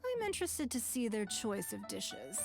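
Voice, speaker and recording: A woman's voice: medium pitch, wildly swooping pitch, normal speed. A woman playing an elegant, composed noblewoman judge who speaks formally in a video game, over music.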